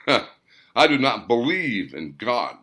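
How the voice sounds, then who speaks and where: A man voicing a gruff skeptic who answers curtly and with mockery. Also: mid-range voice, sing-song, unhurried, pushed and bright, heavily acted. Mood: scornful. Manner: curt and mocking.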